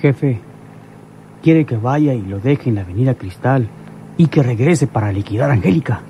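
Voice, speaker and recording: Man acting obedient henchman, mid-pitched, mellow, deferential, radio soap, faint background noise. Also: expressive, moderate pace, lo-fi sound, natural voice. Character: deferential, loyal male henchman and driver. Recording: noisy old radio drama recording.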